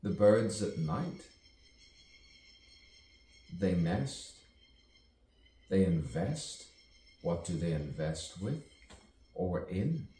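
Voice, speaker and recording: A man performs a dramatic poetic monologue in a very low voice, unhurried and full of long pauses. His pitch moves widely as he muses and plays with rhyme. The recording is echoey, with the mic some way off. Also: sing-song, mellow. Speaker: poet performing own monologue. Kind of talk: theatrical poetic soliloquy with wordplay and rhyme. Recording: roomy, distant mic, faint background noise.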